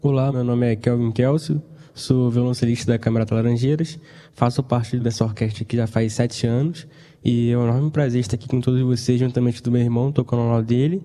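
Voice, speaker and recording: Quick, very dark-voiced Brazilian man. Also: mid-range voice, steady tone. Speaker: cellist, guest. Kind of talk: self-introduction in radio interview.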